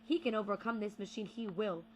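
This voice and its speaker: A young woman performing spoken word poetry with deliberate, rhythmic phrasing and repeated words. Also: medium pitch, a little mellow, slight background noise. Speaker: slam poet.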